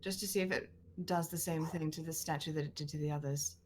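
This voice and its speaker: A woman talks casually as a player in an online tabletop game. Her voice is fairly low and she speaks quickly, with faint noise behind her. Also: steady tone, darkish tone. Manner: frequent use of "like".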